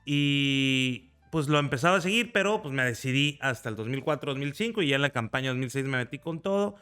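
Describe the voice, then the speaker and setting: Guy, early 40s, smooth clear voice, faint music behind. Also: medium pitch, lively intonation, brisk, bright, forceful tone. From Mexico. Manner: earnest, recounts with pride. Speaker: guest, federal deputy. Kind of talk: conversational interview.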